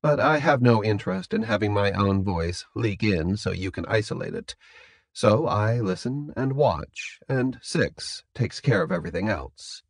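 Man voicing a calm, courteous captor, softly spoken formality. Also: lowish voice, no room sound, studio quality. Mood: calm, matter-of-fact. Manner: polite, measured, formal. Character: calm, courteous, businesslike captor behind a voice modulator. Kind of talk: audiobook character dialogue.

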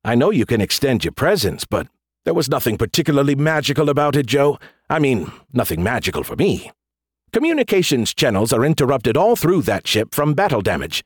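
A man's voice: mid-range voice, sing-song, fairly quick, breathy edge, no echo, studio quality. Man voicing a smug, snarky artificial intelligence. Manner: smug, sarcastic, easily offended. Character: snarky, boastful, petulant male artificial intelligence. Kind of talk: audiobook character dialogue.

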